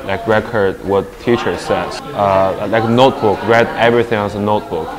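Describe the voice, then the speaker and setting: Very deep-voiced young immigrant man. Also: steady tone, a crowd in the background. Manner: halting, repeating words. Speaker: immigrant student interviewee. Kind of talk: candid interview answers.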